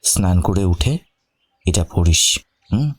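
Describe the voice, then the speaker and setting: A man's voice: low-pitched, lively intonation, slow, breathy edge, heavily acted. A man playing an affectionate, teasing young husband in a romantic audio story, with an intimate, playful manner. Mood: tender, casual.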